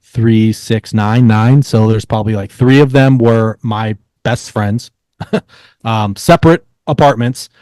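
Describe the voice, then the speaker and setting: A man co-hosting a podcast, telling stories in a casual, halting way and repeating words as he searches for the next. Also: on the low side, darkish tone, dry recording. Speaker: podcast co-host. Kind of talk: casual sports storytelling.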